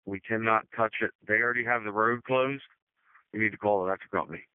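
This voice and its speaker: A man's voice: on the low side, fairly even intonation, fast, clean tone, dry recording. A man on a road crew reporting in over two-way radio in a plain-spoken, blunt manner. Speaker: highway department road crew worker. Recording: muffled, dry two-way radio transmission.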